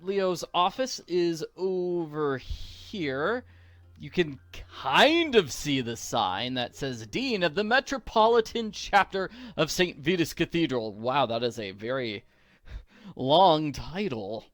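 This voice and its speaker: A man's voice: on the high side, sing-song, slow pace, a bit bright, no room sound. A man giving casual, playful video game walkthrough commentary, with a theatrical streak.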